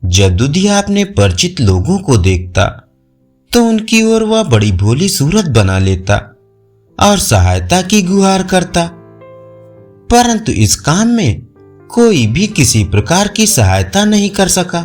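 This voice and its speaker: A man's voice: high voice, big pitch swings, slow. A man narrating moral folk tales as a scripted storyteller. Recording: music under the voice. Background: faint music.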